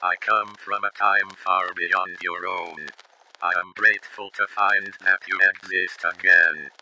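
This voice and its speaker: A man playing a mysterious, menacing being from another time in an analog horror drama, speaking calmly and deliberately. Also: low voice, slight background noise, full character voice, poor audio. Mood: calm, ominous. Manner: slow, deliberate, ominous speech.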